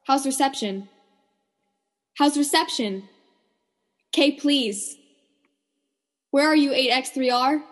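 A woman's voice: high-pitched, slow, pauses often. A woman playing an anxious lone radio operator calling out in a radio drama. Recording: long echoing reverb.

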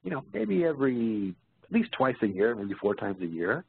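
A man's voice: mid-range voice, sing-song, normal speed, vocal fry, husky. A man presenting as a career coach, answering webinar questions in an explanatory way, often checking in as he goes. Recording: muffled phone-like line in echoey room.

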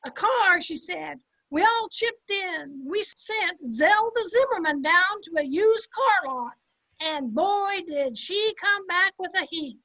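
Older woman acting brassy, very high-pitched wisecracking maid. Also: lively intonation, slow, pushed and bright, exaggerated voice, poor audio. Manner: sardonic, slangy, punchy delivery. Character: brassy wisecracking housemaid in a 1950s radio sitcom. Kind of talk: amateur old time radio comedy acting. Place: indoor room at home, video call. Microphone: computer mic on a video call.

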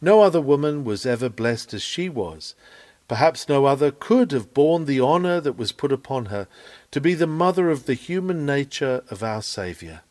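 Animated man reading a sermon aloud. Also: mid-range voice. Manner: earnest, oratorical, measured phrasing. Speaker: sermon reader. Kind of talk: sermon read aloud from a written text.

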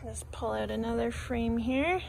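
A woman's voice: a little high, a little slow, creaky. A woman in her thirties from Canada, talking casually and sounding let down as she inspects a beehive in her backyard, filmed close on a phone. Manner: disappointed, thinking aloud while inspecting a hive. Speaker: beekeeping vlogger. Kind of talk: casual vlog commentary. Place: outdoors in a backyard bee yard. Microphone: phone camera held close, selfie framing. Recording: loud outdoor noise on a phone mic.

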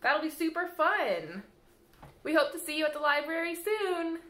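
A woman's voice: high-pitched, very animated, moderate pace, pushed and bright. A woman in her thirties reading a children's picture book aloud in a sing-song way, talking directly to young kids as a library storyteller. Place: small room indoors by a window, seated. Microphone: camera mic a short distance away. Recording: roomy echo from camera mic.

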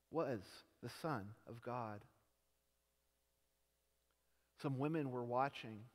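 A young man reading scripture aloud in a large church sanctuary, slow and measured, his phrases dropping softly at the ends. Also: a little high. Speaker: scripture reader. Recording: long church hall reverb.

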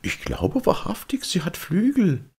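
A man voicing a young, gentle and polite storybook girl with a wondering manner. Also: high-pitched, huge pitch swings, measured pace, husky, pitched above the performer's own voice, heavily acted. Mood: wondering, uneasy.

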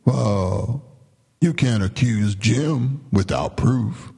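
Man playing a calm, gravelly big gorilla. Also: medium pitch, extremely slow, exaggerated voice. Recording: reverberant hall-like room echo.

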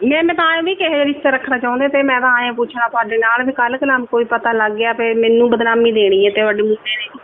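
A woman's voice: a little high, fairly even intonation, fast talker, hardly any pauses. Young punjabi lady from india on a phone call, tinny line, short agreeing replies. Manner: brief, acquiescent replies. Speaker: caller, daughter-in-law. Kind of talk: private phone conversation.

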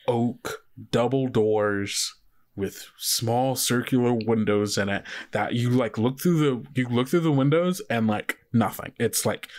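A man acts as game master for a tabletop game. He narrates casually and conversationally, describing scenes to the players in a medium-pitched voice.

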